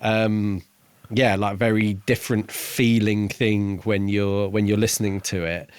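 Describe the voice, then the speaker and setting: A man co-hosting a podcast, talking casually about a book in a halting way, building each thought piece by piece. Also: on the low side, flat delivery, darkish tone, clear, resonant tone, no room sound. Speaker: podcast co-host reviewing a book. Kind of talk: casual conversational book discussion.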